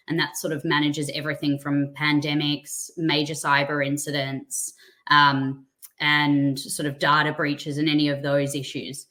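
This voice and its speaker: Aussie woman, low voice, friendly and chatty, talking through a webinar. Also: a bit bright. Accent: Australian. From Australia. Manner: friendly, chatty, self-deprecating. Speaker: guest speaker. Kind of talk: presentation on a webinar.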